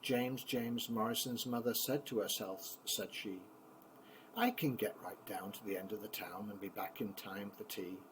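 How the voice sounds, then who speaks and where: An older man, a grandfather, reading children's poems aloud in an expressive, theatrical bedtime style. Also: lowish voice, moderate pace, noisy background.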